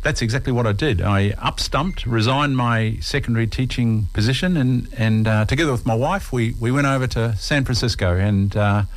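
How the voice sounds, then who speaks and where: A man's voice: medium pitch, normal speed, few pauses, rough recording. A man in his sixties from New Zealand with a Kiwi accent, a guest on a radio interview, chatting in a friendly way and often calling the host by name.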